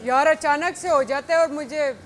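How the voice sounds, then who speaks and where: High-voiced chatty woman. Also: fairly even intonation, normal speed. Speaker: reality show contestant. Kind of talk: casual group chat. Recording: crowd chatter behind.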